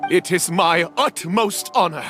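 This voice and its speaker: A man's voice: high-pitched, unhurried, over music. A man voicing an earnest, devoted would-be knight in a game, with a solemn, reverent, theatrical delivery. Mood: grateful.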